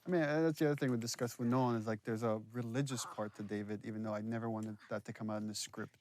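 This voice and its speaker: A man with a mid-range voice gives relaxed director's commentary on a game. He tells it like a storyteller, acting out quotes, with some faint noise behind him. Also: medium pitch, quick pace. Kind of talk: casual behind-the-scenes commentary.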